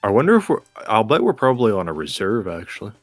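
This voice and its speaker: Low-pitched man chatting over a game. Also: huge pitch swings, fast, no room sound, soft background music. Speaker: gamer co-hosting a gameplay video. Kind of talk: casual chat while playing a guessing game.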